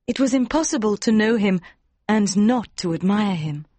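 A woman playing a devoted young woman in mourning in a dramatised audiobook, earnest and pleading, with a breathy voice. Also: mid-range voice, animated, normal speed, mellow, no room sound, natural voice. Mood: tender, eager.

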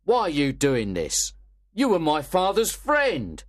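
A man reading a story aloud, voicing a nervous, cornered male schemer who protests loudly and defensively. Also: a little high, wildly swooping pitch, slow pace, pitched above the performer's own voice, exaggerated voice.

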